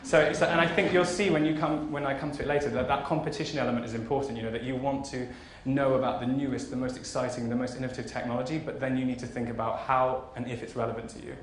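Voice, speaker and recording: A man's voice: medium pitch, fairly even intonation, quick pace, hardly any pauses. A man aged thirty giving an informal, enthusiastic conference keynote in a conversational way. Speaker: keynote speaker, fashion marketing executive. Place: large conference auditorium stage. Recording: roomy hall echo, faint background noise.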